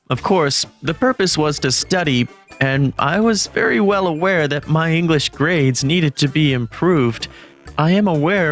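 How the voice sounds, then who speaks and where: A man plays an introverted teenage schoolboy narrating a manga romance. He is gloomy, lovestruck and quick to panic, putting himself down with dramatic flair. Music plays underneath. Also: on the high side, fairly bright tone. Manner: self-deprecating, dramatic. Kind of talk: first-person manga story narration.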